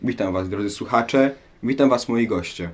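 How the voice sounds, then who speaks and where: A man's voice: mid-range voice, moderate pace. A young man presenting a scripted interview as host, in a formal, rhetorical manner. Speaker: radio programme host.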